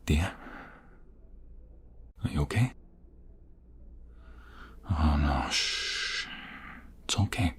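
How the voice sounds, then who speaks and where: A man playing a protective ex-mobster in an audio roleplay drama, husky and breathy, defiant with others and tender with his fiancée. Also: very deep voice, drawn-out words, long pauses, slight background noise, heavily acted. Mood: tender, soothing. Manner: intense, protective.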